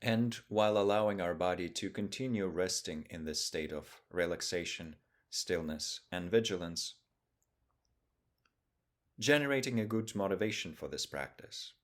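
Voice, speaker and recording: Low-voiced man guiding a meditation. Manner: calm, measured, with long pauses between short phrases. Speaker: meditation teacher. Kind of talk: guided meditation.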